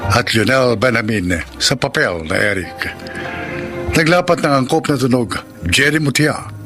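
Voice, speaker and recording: A man's voice: medium pitch, lively intonation, a little slow. A man with a Filipino accent announcing a radio drama in a scripted, classic dramatic style. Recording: music under the voice.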